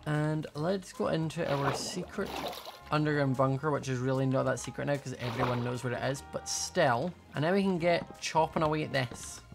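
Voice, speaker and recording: Chatty young woman with a creaky voice. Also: a bass voice, moderate pace. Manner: chatty, thinking aloud, playful. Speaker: gaming video host. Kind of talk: casual gameplay commentary. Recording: faint music behind voice. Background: faint music.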